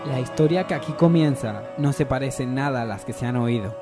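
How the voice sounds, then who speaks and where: A man's voice: medium pitch, brisk. A man giving solemn, scripted narration as the narrator of a parody radio soap. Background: music.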